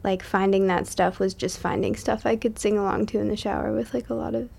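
A woman's voice: medium pitch, fairly even intonation, fairly quick, vocal fry, a little mellow. A young woman from Massachusetts, a musician guest on a podcast, talking casually with lots of likes and ums. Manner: chatty, laughs often, many likes and ums.

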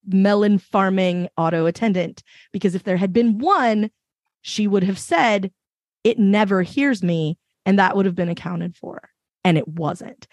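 A woman with a fairly low voice, speaking emphatically and passionately as a panelist in a casual discussion. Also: darkish tone, no room sound. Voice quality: reasonably low voice. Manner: emphatic, passionate, many fillers. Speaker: podcast panelist.

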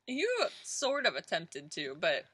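A woman's voice: on the low side, sing-song, slow, fairly bright tone, breathy edge, no echo. A woman co-hosting a casual podcast, playful and wry, laughing often. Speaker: podcast co-host. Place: rural home studio.

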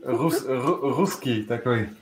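A man's voice: mid-range voice, slow, a little hiss or hum. Teasing podcast cohost guy, mid voice, echoey room sound. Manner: teasing, joking. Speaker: podcast co-host. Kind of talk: casual chat. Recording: roomy echo.

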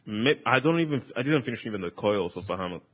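A man chatting excitedly about a video game on an online call, swearing casually and saying "like" a lot. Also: lowish voice, quick pace, slightly rough.

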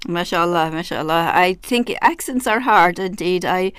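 Woman, Irish accent, radio host, hesitant and chatty, smooth clear voice. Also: lowish voice, moderate pace, fairly bright tone, no room sound. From Ireland. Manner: hesitant, chatty, many fillers and restarts. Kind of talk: casual radio interview.

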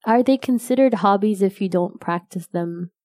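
A young woman whose first language is Arabic, chatting casually as a guest in an interview, self-deprecating and quick to laugh. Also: medium pitch, dark tone, no echo, clean studio sound. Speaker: novelist guest.

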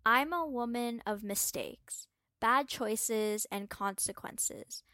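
A woman's voice: fairly high pitch, takes it slowly, bright, forceful tone, dry recording, clean studio sound. A woman reading a prepared text aloud as a guest presenter.

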